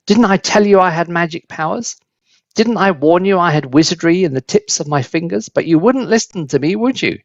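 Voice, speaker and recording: A man voicing a cantankerous, boastful old grandmother in a storybook, shouting in a strident, scolding way. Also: sits high, lively intonation, brisk, dry recording, muffled recording, pitched above the performer's own voice. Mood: smug. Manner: scolding, mocking.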